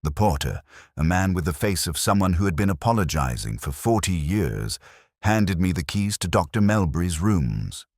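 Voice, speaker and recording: A man reading a first-person literary detective mystery in a measured, reflective, melancholy way. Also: low voice, sing-song, a little mellow, husky, dry recording, studio quality.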